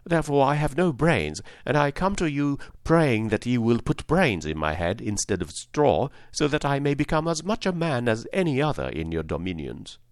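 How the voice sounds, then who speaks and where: A man's voice: mid-range voice, big pitch swings, normal speed, hardly any pauses, dry recording, clean studio sound. A man voicing a humble, brave straw-stuffed scarecrow who pleads earnestly and politely in a storybook reading. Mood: humbly pleading.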